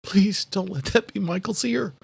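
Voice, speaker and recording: A man's voice: normal speed, creaky, husky, no room sound, exaggerated voice. A man voicing a distraught, determined younger sister in an audiobook, pleading and insistent. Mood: anxious, pleading. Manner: pleading, insistent, higher-voiced. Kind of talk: audiobook character acting.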